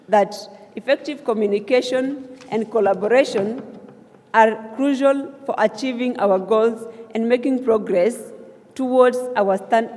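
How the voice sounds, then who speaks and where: A woman's voice: on the high side, darkish tone. A young woman from South Sudan giving an earnest, emphatic acceptance speech as a newly elected student association leader, rising to calls of thanks. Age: young adult. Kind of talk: acceptance speech at a swearing-in ceremony. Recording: long reverb of a large hall.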